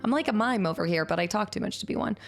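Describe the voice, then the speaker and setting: A woman streamer chatting casually with her viewers and thanking them, upbeat, her voice a little raspy today. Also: medium pitch, animated, rapid-fire. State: slightly raspy voice. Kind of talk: casual stream chat reading chat. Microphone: streaming mic.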